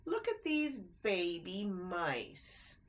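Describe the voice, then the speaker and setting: A woman's voice: on the low side, big pitch swings, very slow, exaggerated voice. A woman voicing a mean male sewer rat who leads a gang, speaking in a sly, taunting way in a children's story.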